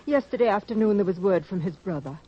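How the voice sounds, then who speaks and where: An actress playing a calm, devout neighbor woman in a 1940s radio drama, speaking gently and earnestly with a breathy voice. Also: mid-range voice, fast. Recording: dull old radio recording.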